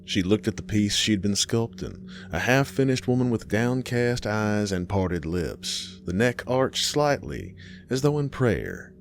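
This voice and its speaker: A man reading a scripted horror story in a dramatic, measured way, pausing between phrases. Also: lowish voice, expressive, a little hiss or hum.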